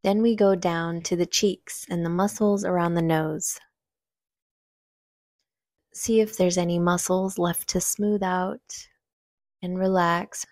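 A woman leading a guided meditation, giving gentle, unhurried instructions with long pauses between phrases. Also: on the low side, steady tone, dry recording, clean studio sound.

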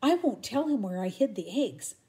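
A small, round, mischievous boy bunny from a storybook, acted by a woman. He is playful and sure of himself, with a lively lilt. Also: on the high side, moderate pace. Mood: firm.

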